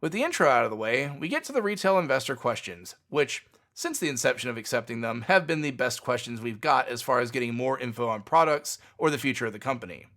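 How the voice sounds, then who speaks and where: A man's voice: mid-range voice, animated, quick pace, few pauses, fairly bright tone. A man hosting a podcast, reading fluent, opinionated scripted commentary on company news. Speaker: podcast host.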